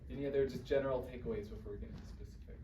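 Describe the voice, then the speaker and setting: A man's voice: mid-range voice, steady tone, very fast, dark tone. A man casually moderating a talkback discussion with an audience. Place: roomy indoor performance space, far microphone. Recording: echoey, distant, noisy room recording.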